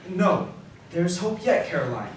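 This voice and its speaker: A man's voice: high voice, sing-song, unhurried. Man playing an earnest husband in echoey hall. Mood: hopeful relief. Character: Caroline's husband, earnest indebted man, grave yet hopeful. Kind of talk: live radio drama acting. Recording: echoey live stage, distant mic, faint noise.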